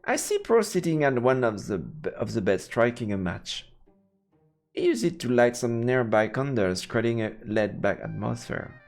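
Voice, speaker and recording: A male streamer comments on a game and reads its text aloud with playful asides. His voice is creaky and his pitch jumps around freely. Faint game music runs underneath. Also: very animated, moderate pace. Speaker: let's play streamer. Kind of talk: live game commentary, reading narration aloud.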